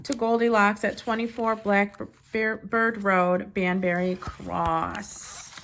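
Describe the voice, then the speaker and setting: A woman reading a children's picture book aloud with expressive, deliberate phrasing. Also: mid-range voice, slight background noise.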